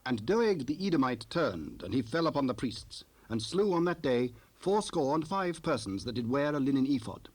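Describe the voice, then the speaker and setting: A man narrating a dramatized scripture recording in a formal, measured storytelling manner. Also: mid-range voice, sing-song, a little mellow, rough recording.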